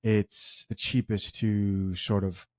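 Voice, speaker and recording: A man's voice: lowish voice, flat delivery, takes it slowly, no echo, studio quality. A man from Zambia hosting a reaction video, with mild, easygoing commentary on what he watches. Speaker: reaction host.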